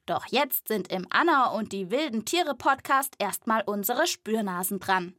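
High-voiced woman hosting for children. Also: expressive, unhurried, pushed and bright, no room sound, clean studio sound. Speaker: children's podcast host and animal reporter. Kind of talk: lively scripted narration for children.

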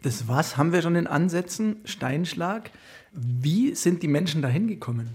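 A man working as a radio interviewer, speaking in a measured, articulate way with prepared, conversational questions. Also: a little high, expressive, darkish tone, husky.

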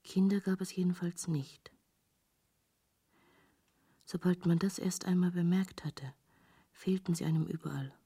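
A woman acting in a literary radio drama as a disillusioned, reflective traveller, speaking in a measured, dry way with a husky, breathy voice. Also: lowish voice, steady tone, warm tone. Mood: weary, reflective. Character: disillusioned female visitor to hell, wistfully reflective narrator.

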